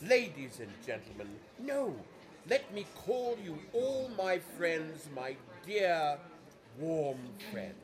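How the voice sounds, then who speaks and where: A man playing a jolly, warm-hearted older gentleman host in a radio drama, hearty and theatrical. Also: on the high side, very animated, slow, crowd noise. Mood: jubilant, festive. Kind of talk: stage-style radio drama acting.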